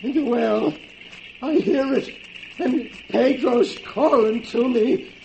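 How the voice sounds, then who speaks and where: An actor playing a terrified, haunted man in 1940s radio horror, wailing in drawn-out panic. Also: extremely high pitch, gravelly, a little mellow, lo-fi sound, exaggerated voice. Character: terror-stricken, haunted man hearing ghostly calls from a well. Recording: noisy 1940s radio recording.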